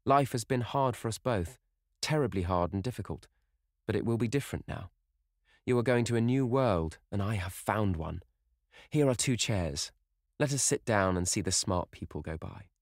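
A man's voice: fairly low pitch, expressive, moderate pace, no echo, studio quality, pitched above the performer's own voice. A man voicing a dreamy, playful young woman in love, as an audiobook character. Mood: joyful, tender.

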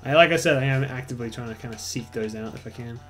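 A man's voice: mid-range voice, quick pace, fairly bright tone, faint music behind. A young Australian man with an Australian accent commentating casually over gameplay, rambling and swearing freely. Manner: rambling, swears a lot, self-mocking. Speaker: let's play host.

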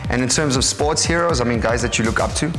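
Upbeat South African cooking show host. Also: male voice, medium pitch, fast talker. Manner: upbeat, slangy, laughs often. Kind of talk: casual cooking show banter. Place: studio kitchen set. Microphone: lapel mic. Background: background music.

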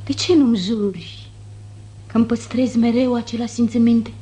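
A woman's voice: a little high, mellow, lightly acted. An actress playing a proud, wounded noblewoman, formal, declamatory and reproachful, in 1950s radio theatre. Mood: scornful.

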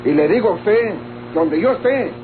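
An actor playing a resentful, proud young rancher brother in an old radio drama, with a Mexican accent. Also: male voice, high-pitched, expressive, normal speed, music in the background. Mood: indignant. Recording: tinny, noisy old radio drama recording.

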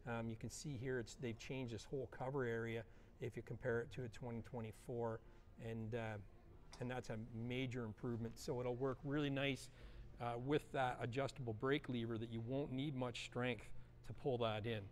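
A middle-aged man giving a relaxed, chatty walkthrough of snowmobiles in a large indoor showroom, filming on a handheld action camera and filling pauses with uh and um. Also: medium pitch. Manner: relaxed, chatty, lots of uh and um fillers. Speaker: vlogger touring a snowmobile dealership. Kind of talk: casual walkthrough presenting.

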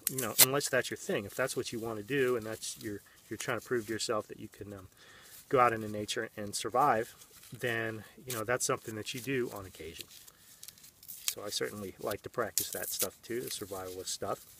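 A man's voice: on the low side, fairly even intonation, fairly quick, recorded from a distance, noisy background. A man in his thirties giving a casual, rambling how-to demonstration outdoors in the desert, with many fillers and restarts. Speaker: vlogger. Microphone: camera mic, on-camera and off-frame.

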